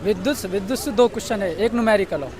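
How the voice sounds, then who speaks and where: A man's voice: sits high, rapid-fire, a crowd in the background. A young man chatting colloquially in an interview. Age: young adult. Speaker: exam candidate being interviewed. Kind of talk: casual colloquial chat. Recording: crowded outdoor street recording.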